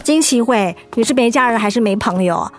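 Actress doing high-pitched bossy taiwanese girl, exasperated but softhearted, radio drama, music under it. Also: animated, brisk, lightly acted. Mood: scolding, hurt. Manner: blunt, nagging, exasperated. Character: feisty, scolding, softhearted young woman, hurt best friend. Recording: music behind the voice.

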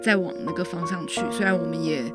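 A woman's voice: fairly low pitch, steady tone, quick pace. A woman with a Taiwanese Mandarin accent speaks as an academic guest in a casual interview, phrasing her thoughts carefully and tentatively. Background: music.

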